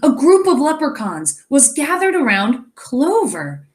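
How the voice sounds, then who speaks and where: A woman teacher reading a children's story aloud expressively, pausing to explain and wonder aloud. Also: high-pitched, very animated. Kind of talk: animated children's read-aloud with asides.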